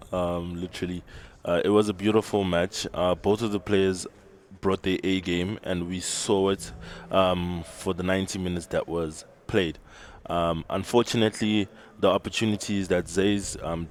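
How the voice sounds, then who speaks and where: A man with a very deep voice breaks down a match, pausing often to find his words. There is a faint hiss behind him. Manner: hesitant, many ums. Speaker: panel analyst. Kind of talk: post-match analysis.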